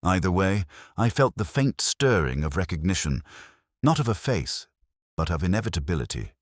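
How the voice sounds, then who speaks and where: Man as very deep, brooding police inspector. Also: sing-song, no room sound, studio quality. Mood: grave, pensive. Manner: measured, literary, melancholy. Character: weary, brooding male police inspector, narrating in first person. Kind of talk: scripted first-person mystery narration.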